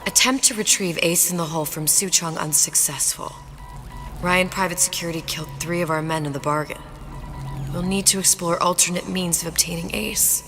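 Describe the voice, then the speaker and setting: A woman's voice: lowish voice, fairly even intonation, brisk, music in the background, natural voice. An actress playing a clever, determined young woman in a video game, dry and self-assured. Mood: brisk, focused.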